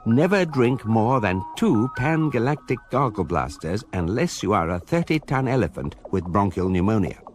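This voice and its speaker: An actor as a wry, authoritative guidebook narrator in a radio comedy, measured and deadpan. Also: male voice, on the low side, soft background music.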